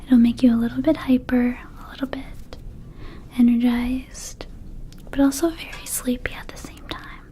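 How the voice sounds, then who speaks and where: A woman voicing a small, laid-back, slightly shady vampire dispensary keeper in an ASMR roleplay, whispering softly in a rambling, casual sales patter. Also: fairly high pitch, level tone, normal speed, lightly acted. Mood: soothing, coaxing. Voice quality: soft, breathy whisper.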